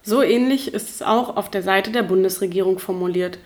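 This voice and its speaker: A woman narrating a documentary feature in a clear, even reading voice. Her pitch sits mid-range, neither high nor low, and she poses rhetorical questions as she reads. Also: brisk.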